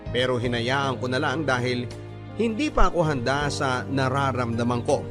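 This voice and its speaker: A man's voice: mid-range voice, normal speed. Man narrating sentimentally over music. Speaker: radio drama narrator reading a letter. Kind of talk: scripted first-person narration, classic radio storytelling. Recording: voice over prominent background music.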